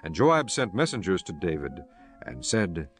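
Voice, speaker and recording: A man's voice: sits low, very animated, normal speed, faint music behind. A man reading scripture as a solemn audiobook narrator.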